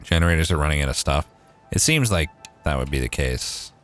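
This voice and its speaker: Canadian streamer, deep and animated, chatty and profane. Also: male voice, brisk, faint music behind. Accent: Canadian, Maritime. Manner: chatty, swears casually, thinks aloud while playing. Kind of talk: casual gameplay livestream chat.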